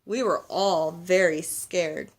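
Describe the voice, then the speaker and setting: A weary but kindly older man from a storybook, voiced by a woman. He tells his tale gravely, taking his time over the words. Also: on the low side, steady tone, voice pushed down. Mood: grave, stirring. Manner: grave, measured. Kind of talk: children's storybook read-aloud.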